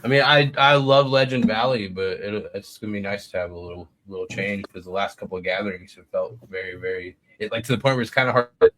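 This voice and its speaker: A man chatting casually as a podcast panelist, halting and conversational, often rephrasing as he goes. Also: lowish voice, a bit bright, a little hiss or hum, rough recording. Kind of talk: casual chat.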